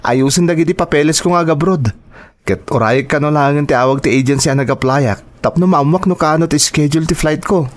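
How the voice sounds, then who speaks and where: A man's voice: a little high, brisk, close to an everyday voice. A man with a Filipino accent playing an earnest, reassuring grown son in an audio drama. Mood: earnest, dutiful.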